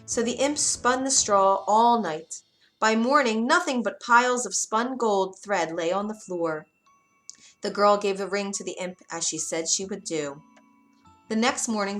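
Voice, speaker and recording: A woman's voice: mid-range voice, fairly bright tone. A woman narrating a scripted bedtime fairy tale for children, expressively and with dramatic emphasis. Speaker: children's storyteller. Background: music.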